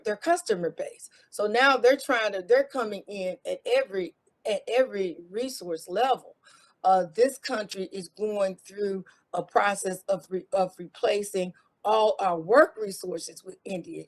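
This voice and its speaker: A middle-aged American woman talking in a casual interview, rambling and restarting with repeated words. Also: mid-range voice. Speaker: guest, former IT contractor.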